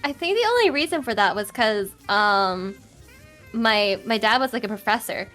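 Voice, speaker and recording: A young woman with a high-pitched, loud voice, chatting casually as an interview guest, with many fillers and restarts. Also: animated, normal speed, faint music behind. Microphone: home streaming mic with EQ and compressor.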